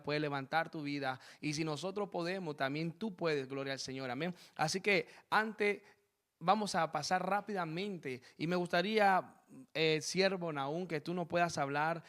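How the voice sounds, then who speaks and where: A man with a slightly raised pitch hosts a church interview program as its pastor. He speaks fervently and keeps repeating amens and blessings between his questions. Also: fairly bright tone. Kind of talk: preaching interview with spoken prayer. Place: church program set in Spain.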